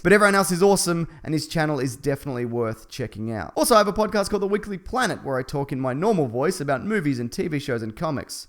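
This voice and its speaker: Guy doing a jokey scripted movie review, sarcastic and dry, voice on the higher side. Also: a bit bright. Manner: sarcastic, dry humour with exaggerated outbursts. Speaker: film reviewer, channel host. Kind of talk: scripted comedic review narration.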